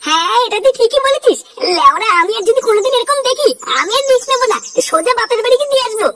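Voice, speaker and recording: A performer voicing an excitable, crude cartoon villager, scolding in slangy exclamations through a pitched-up effect. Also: fast talker, a crowd in the background. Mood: suspicious. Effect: pitched-up chipmunk-like voice. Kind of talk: Bengali comedy cartoon voice acting with sped-up, pitched-up voices. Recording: loud background music.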